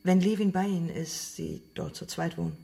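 Female interrogator, very low mellow voice, cool and measured, radio drama dialogue. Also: steady tone, creaky. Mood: cool, probing. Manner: measured, questioning, unruffled.

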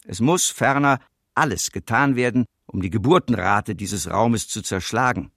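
A man's voice: lowish voice, normal speed, a bit bright, no room sound, clean studio sound. An actor playing a cold, domineering male Nazi occupation official in a German radio docudrama. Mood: cold, commanding.

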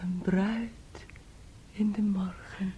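An actress in a radio play voicing an anxious, tender young bride-to-be, breathy and hesitant. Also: female voice, mid-range voice, steady tone, extremely slow, dark tone, full character voice. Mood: hushed, wistful. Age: young adult. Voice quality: breathy, often near a whisper. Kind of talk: Dutch-language radio play acting.

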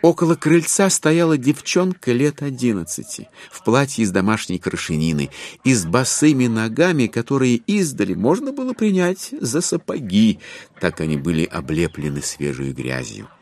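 A man narrating a dramatised literary audiobook in an expressive, measured storytelling manner. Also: mid-range voice.

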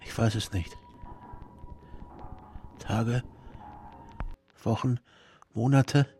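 An actor playing a frightened, broken prisoner under interrogation in a radio drama, answering haltingly and submissively. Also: male voice, mid-range voice, dark tone, slight background noise. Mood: weak, submissive. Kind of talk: German radio drama acting.

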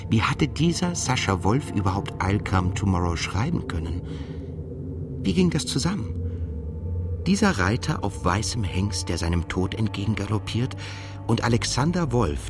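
A man acting a brooding, introspective journalist who narrates his own story in a reflective, measured way in a literary radio drama. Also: lowish voice. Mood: puzzled, intense. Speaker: narrator and lead character. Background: music.

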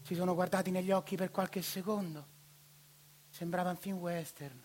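A man voicing an excited young schoolboy who tells his family's story in a radio stage monologue. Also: sits high, moderate pace, soft background music, lightly acted. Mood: hushed. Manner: breathless, eager storytelling.

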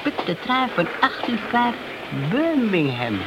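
An actor playing a small-time crook and informer in a Dutch radio play, wheedling, slangy and obsequious. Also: male voice, extremely high pitch, huge pitch swings, takes it slowly, exaggerated voice. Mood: sly. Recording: noisy old radio drama recording.

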